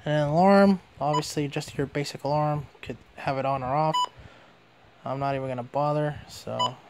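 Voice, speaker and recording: A man's voice: fairly high pitch, fairly even intonation, normal speed, background noise. A man giving a casual hands-on product demonstration as a reviewer.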